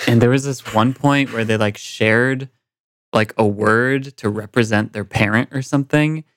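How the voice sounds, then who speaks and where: A man's voice: mid-range voice, fairly even intonation, a little slow. A man co-hosting a podcast in a casual group conversation. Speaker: podcast co-host.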